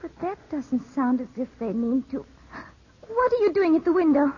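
A woman's voice: high voice, sing-song, normal speed. An actress playing an earnest, anxious, well-bred young woman in 1950s radio drama style. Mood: urgent, alarmed. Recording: muffled, lightly noisy old radio broadcast.

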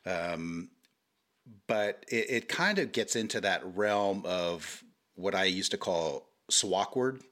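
A man's voice: sits low, animated, slow, fairly bright tone. A middle-aged man chatting casually as a podcast guest, hedging with many restarts and fillers while explaining diplomatically. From Hawaii.

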